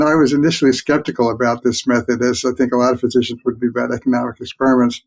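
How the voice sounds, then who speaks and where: An older man, a physician and researcher, explaining his work as an interview guest in a measured way. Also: medium pitch, rough recording.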